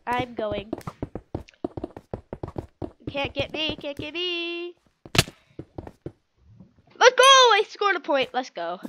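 A woman's voice: sits high, very animated, extremely slow, pauses often, noisy background. A young woman with a stuffy, sick-sounding voice giving casual commentary while playing a game, cheering and groaning at what happens. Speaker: gaming video creator.

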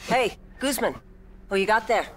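An actress playing a young, dry and composed police detective from Southern California in a video game. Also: female voice, mid-range voice, expressive, normal speed, close to an everyday voice. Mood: brisk. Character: cool, teasing female police detective, young adult. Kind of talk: naturalistic game acting. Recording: music behind, car interior.

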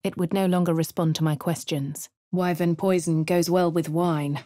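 A posh, wry adventurer woman with an English accent, as an actress voices her, well-spoken and crisp. Her voice sits a little low and sounds close and dry in the studio. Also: fairly even intonation, moderate pace, dark tone, no echo, close to an everyday voice. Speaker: player character voice. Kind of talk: game voice lines and narration. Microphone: studio mic, dry.

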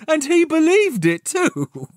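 A man playing a cheerful, good-natured young gentleman with a hearty, chuckling, animated manner in an audio play. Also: very high voice, slow, no echo, studio quality, voice pushed up, heavily acted. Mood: amused. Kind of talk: theatrical audio play of a classic novel.

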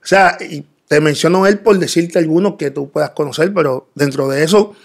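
A middle-aged man from the Dominican Republic raised in Rico, answering interview questions calmly with many pauses and fillers. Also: a little high, vocal fry. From Dominican Republic, raised in Puerto Rico. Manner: calm, hesitant, many fillers. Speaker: interview guest. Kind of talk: casual interview. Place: pink studio set. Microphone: boom studio mic.

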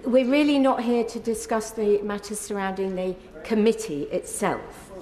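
A woman's voice: medium pitch, mellow. Firm woman chairing a debate. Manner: firm, brisk procedural rulings. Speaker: deputy speaker chairing debate. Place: debating chamber. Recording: echoey chamber with long reverb.

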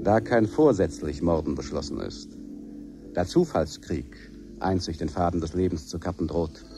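An actor playing a solemn narrator of doom, declaiming elevated verse in a ceremonious manner in a 1970s radio play. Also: male voice, low voice, animated, moderate pace. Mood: grave, declamatory. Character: solemn male chorus-like narrator of nuclear doom. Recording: 1970s radio production with music behind.

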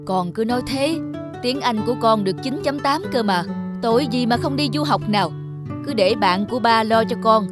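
A man's voice: very high voice, moderate pace. A middle-aged cartoon dad, proud and pushy. He talks in a firm, insistent way with music behind him. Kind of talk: cartoon dialogue.

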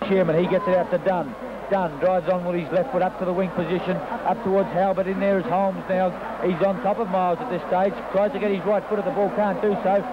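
A man giving live play-by-play football commentary, excitable, his voice climbing at scoring chances. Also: sits high, fast talker. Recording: lo-fi 1960s broadcast with loud crowd and noise.

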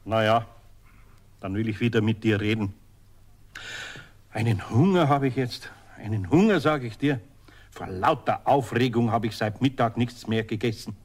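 An actor playing a good-natured but sometimes grumpy old master carpenter with a Bavarian accent in a radio play. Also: male voice, mid-range voice, normal speed, long pauses, lightly acted. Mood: relenting, tired. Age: older.

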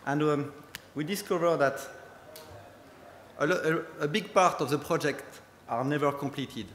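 A man with a strong French accent giving a conference talk in halting English, with many fillers. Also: a little high, a bit bright, slight background noise. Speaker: conference speaker, company head. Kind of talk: conference presentation. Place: large conference hall. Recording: echoey hall with long reverb.

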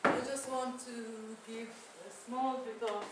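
A woman's voice: fairly high pitch, little pitch movement, slow pace. A woman whose first language is Persian gives a chatty, self-deprecating conference talk with many fillers and restarts. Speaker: academic speaker. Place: lecture room. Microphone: far camera. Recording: echoey lecture room from far off, noisy.

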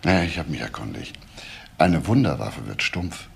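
A male actor playing a deliberate, insistent police investigator in a crime radio play. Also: extremely low pitch, sing-song, gravelly, a little hiss or hum, exaggerated voice. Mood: probing. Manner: deliberate, pointed, questioning. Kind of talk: radio crime drama acting.

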